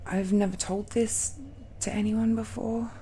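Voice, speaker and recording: A woman voicing a tender, nervous girlfriend of dragon blood in an intimate romantic audio roleplay, speaking haltingly and softly, often dropping into whispers. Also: medium pitch, little pitch movement, a little hiss or hum, lightly acted. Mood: nervous, earnest. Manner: slow, halting, hushed, often sighing or lapsing into whispers. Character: tender, nervous dragon-descended girlfriend confiding in her lover.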